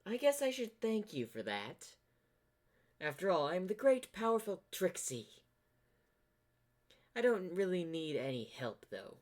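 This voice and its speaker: A man's voice: high voice, sing-song, measured pace, pauses often, voice pushed up. A man voicing a haughty, sarcastic female magical pony, boastful and impatient in a cartoon fan story. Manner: boastful, dismissive.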